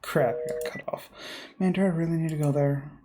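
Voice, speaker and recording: A man's voice: fairly high pitch, steady tone, fairly quick, warm tone, a little hiss or hum, natural voice. A man voicing a jittery, superstitious real-estate agent in a horror game, muttering to himself in exasperation. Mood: annoyed.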